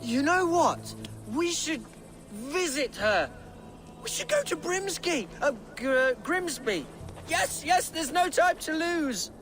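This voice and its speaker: A man's voice: extremely high pitch, big pitch swings, slow, fairly bright tone, full character voice. An actor playing an earnest, tipsy young Saxon nobleman, eager and wordy in a dramatic video game style. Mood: tipsy, excited. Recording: music behind the voice.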